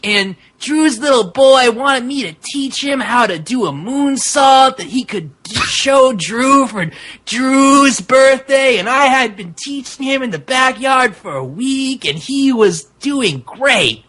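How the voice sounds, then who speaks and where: A tragic Mexican wrestler as a podcast reader puts him on pours out his past in a hammy dramatic reading. The delivery is slow and very animated, with a bright, forceful edge. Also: high-pitched, heavily acted. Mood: pleading, sentimental. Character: Rey, emotional Mexican wrestler with a secret monstrous past.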